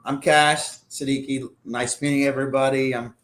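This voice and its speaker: A man's voice: mid-range voice, little pitch movement, normal speed, a bit bright. A man in his forties from Pakistan, a restaurant owner telling his story in a loose, talkative way during a casual interview.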